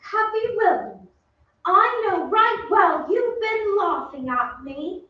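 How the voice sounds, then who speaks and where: A woman playing a very high-voiced melodramatic noblewoman. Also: big pitch swings, takes it slowly, exaggerated voice. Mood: offended warning. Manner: breathless, dramatic storytelling. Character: melodramatic young noblewoman of an English haunted castle. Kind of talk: old-time radio play acting. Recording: echoey stage, distant mic, muffled, faint noise.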